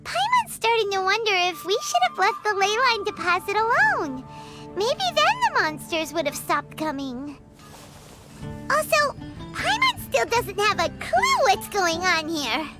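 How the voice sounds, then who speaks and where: A woman voicing a tiny, chirpy, excitable female fairy companion in a video game, with a squeaky childlike voice and expressive, exclamatory delivery. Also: unhurried, full character voice. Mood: coaxing, chatty. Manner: refers to self in third person, exclamatory, expressive. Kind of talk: video game character dialogue. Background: game music.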